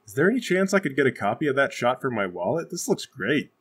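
A man's voice: mid-range voice, very animated, fast talker, fairly bright tone, breathy edge, pitched below the performer's own voice. A man playing an overeager, self-important cop in a tabletop game, casual and mock-tough. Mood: hopeful.

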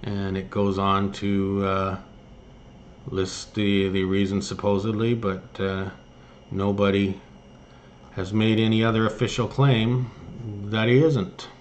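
A man with a fairly low voice presents online political commentary. He reads written pieces aloud and adds his own remarks in a halting way. Some noise sits behind his voice. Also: little pitch movement, lo-fi sound. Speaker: host of an online news commentary show. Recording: noticeable background noise.

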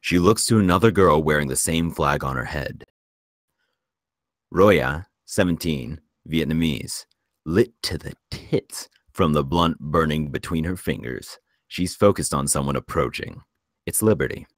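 Very deep male narrator, dry sound. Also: a little slow, no room sound. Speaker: screenplay narrator at a table read. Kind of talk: scripted narration of stage directions.

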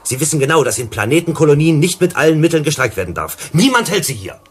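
An actor playing an earnest, persuasive psychologist in a German sci-fi radio drama, explaining and pleading. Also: male voice, a little high, sing-song, fast talker, breathy edge. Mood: urgent. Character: earnest, persuasive male company psychologist and negotiator.

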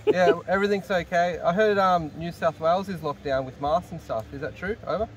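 A man's voice: sits high, brisk, recorded from a distance. An Australian man talking casually into a radio handset outdoors, picked up by a nearby camera. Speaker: camper making a radio phone call. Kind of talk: casual radio-phone conversation. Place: outdoors at a bush campsite. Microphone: camera mic a short distance away. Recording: noisy outdoor camera recording.

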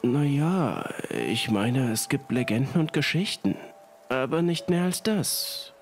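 A man playing an uneasy husband in a horror story, hesitant and trying to sound calm. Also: medium pitch, slow pace, faint music behind, voice pushed up. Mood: doubtful. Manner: hesitant, soothing.

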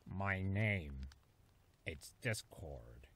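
A man voicing a weary, grudging chimera creature in a fan-made cartoon, speaking deliberately and reluctantly. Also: deep voice, lively intonation, fairly bright tone, slightly rough, heavily acted. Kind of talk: fan animation voice acting.

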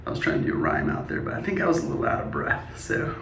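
A man in his forties, a spoken word poet, chatting casually and reciting rhymes on a hiking trail, relaxed and upbeat. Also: medium pitch, quick pace, creaky, roomy sound, slight background noise. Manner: upbeat, laid-back, laughs easily. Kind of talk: casual vlog chat and rhyming poetry.

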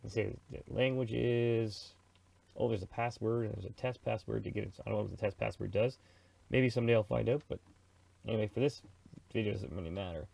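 Casual, gravelly middle-aged man explaining software. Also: on the low side, fairly quick. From Canada. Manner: chatty, informal, slangy, narrates own thoughts. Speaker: presenter of a radio software tutorial. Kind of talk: casual screen-recorded tutorial.

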